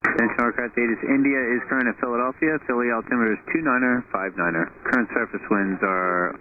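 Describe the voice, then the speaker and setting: A man's voice: mid-range voice, steady tone, fast talker. Male controller on tinny, noisy radio. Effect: air traffic radio. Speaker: air traffic controller. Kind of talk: procedural weather broadcast to aircraft. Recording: noisy, narrowband air traffic radio.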